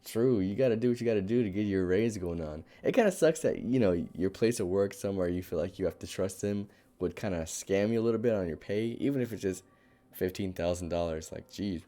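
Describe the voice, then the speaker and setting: A young man with a hoarse, raspy voice reads Reddit posts aloud on a casual live stream. His voice sits a little low and mellow, and he wanders off into chatty asides full of "like" and "you know.". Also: a little fast. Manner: chatty, rambling asides with fillers and laughs.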